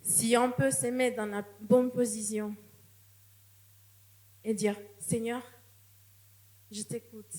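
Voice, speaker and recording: Woman preaching at church, kinda high voice, earnest, restarts her words a lot. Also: little pitch movement, takes it slowly, pauses often, a little mellow. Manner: earnest, testimonial, with frequent restarts. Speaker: guest preacher. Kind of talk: sermon.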